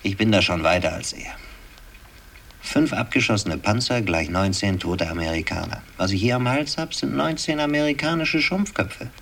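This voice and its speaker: An actor playing a bitter, cynical decorated soldier in a sardonic, brooding radio drama monologue, on a noisy old recording. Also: male voice, on the low side, animated, normal speed, creaky, a bit bright, heavily acted. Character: bitter, cynical war-decorated soldier, lover of a young woman. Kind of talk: 1960s German radio drama acting.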